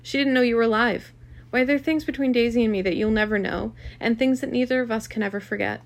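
A creaky-voiced, possessive and brutish rich husband in a storybook drama , read by a woman. He is arrogant and quick to anger, blustering out accusations. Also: a little high, mellow. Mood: savage contempt. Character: arrogant, hot-tempered wealthy married man, brutish and possessive.

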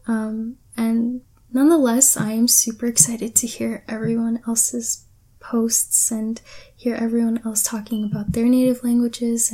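A woman's voice: a little high, warm tone, breathy edge. A tired young woman from Pakistan, whose first language is Urdu, casually answering a questionnaire with rambling talk and many ums. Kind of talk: casual chat.

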